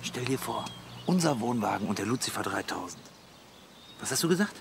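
A boastful, stubborn middle-aged husband in a radio play. His blustering speech swings widely in pitch, over faint outdoor noise. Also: lively intonation, a little fast, vocal fry. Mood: distracted. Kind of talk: German audio drama dialogue.

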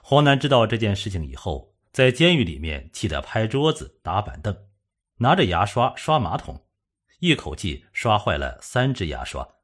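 A man narrating a suspense novel as an audiobook, in a measured, dramatic storytelling manner. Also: fairly low pitch, slightly rough.

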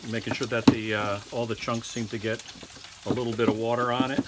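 A man in his sixties giving a casual, halting cooking demonstration as the host in a small kitchen. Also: mid-range voice, little pitch movement. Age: 60s. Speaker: home cook and cooking show host. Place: small trailer kitchen, indoors. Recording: noisy kitchen, frying sizzle behind voice.

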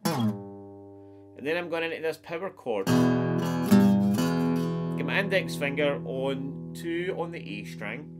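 A man in his forties with a stuffed-up nose, giving a relaxed step-by-step guitar lesson from a small wood-paneled room. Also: fairly high pitch, slow, pauses often. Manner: friendly, explains step by step with counts. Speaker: guitar teacher. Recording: acoustic guitar playing throughout.

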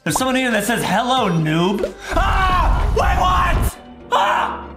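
Man in his twenties, excitable kids' gaming host, theatrical, high-pitched, swooping delivery, shouty. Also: takes it slowly, creaky, over a noisy crowd. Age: 20s. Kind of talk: exaggerated kids' gaming commentary. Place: small room at home, indoors. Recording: roomy echo with loud background music. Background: music.

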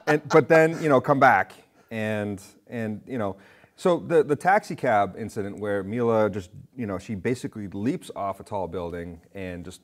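A middle-aged man chatting casually on a film show in a rambling, halting way, often interrupting himself. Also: on the low side, animated. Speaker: film discussion show panelist. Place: indoor studio with curtains, seated.

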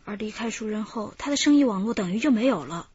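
A woman's voice: mid-range voice, expressive, quick pace, a little mellow, husky, no room sound, dull audio. A woman acting in a radio drama as a wary, defiant young woman in a criminal gang, complaining and evasive. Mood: subdued, confessing.

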